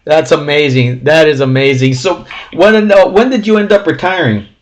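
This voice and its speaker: A man's voice: fairly high pitch, moderate pace. A man interviewing casually as an agreeable, encouraging listener, over a telephone-like recording.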